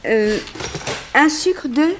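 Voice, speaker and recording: Slyly charming, high-voiced lady journalist. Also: lively intonation, extremely slow, slight background noise, exaggerated voice, low-quality recording. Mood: gracious. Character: poised, quick-witted woman journalist, slyly charming accomplice. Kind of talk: 1960s French radio detective drama acting.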